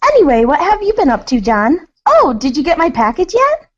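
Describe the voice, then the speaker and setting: Woman playing a high, lilting, sweet teenage girl. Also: wildly swooping pitch, moderate pace, muffled recording. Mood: chipper. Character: sweet, upbeat, curious teenage girl friend. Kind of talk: read-along storybook reader's theater acting.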